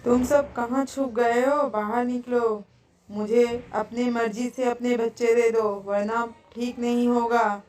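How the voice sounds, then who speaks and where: Woman playing a slow, threatening eagle villain. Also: on the high side, fairly even intonation, darkish tone, natural voice. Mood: menacing. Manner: threatening, bullying. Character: menacing, dagger-wielding male eagle villain. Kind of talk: animated moral story acting. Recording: distant mic with faint noise.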